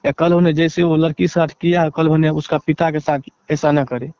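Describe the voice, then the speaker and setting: Man, Bihari accent, slightly high voice, halting and deferential, repetitive fillers, dull lo-fi phone recording. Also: little pitch movement, darkish tone, clean tone, no room sound. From Bihar, India. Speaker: interviewee, relative seeking justice. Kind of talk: phone interview account.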